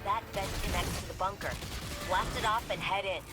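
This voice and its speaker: Actress as a wry woman operative, loud music behind. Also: medium pitch, fairly quick, natural voice. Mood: focused, brisk. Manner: casual, wry, informal. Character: wry, loyal, quick-witted woman hacker and field operative. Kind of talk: video game dramatic acting.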